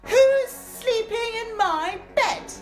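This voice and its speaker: A woman voicing a distraught but brave little baby bear in a children's puppet story, loud and wailing. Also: very high voice, expressive, extremely slow, soft background music, voice pushed up, exaggerated voice. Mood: brave, indignant.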